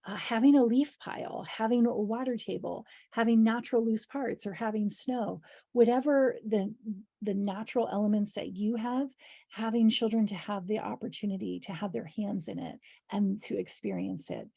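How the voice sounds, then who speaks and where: A woman with a hoarse, husky voice giving an informal instructional presentation as an educator, explaining in a conversational way with practical examples. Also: medium pitch, normal speed, dark tone, dry recording, studio quality. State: hoarse voice, clearing her throat. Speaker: early childhood educator leading a training.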